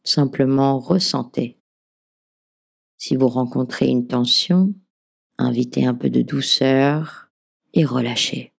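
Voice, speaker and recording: A woman leading a guided relaxation as a meditation teacher, giving gentle, soothing, measured instructions. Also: very deep voice, fairly even intonation, vocal fry, breathy edge. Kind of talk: guided yoga nidra relaxation narration.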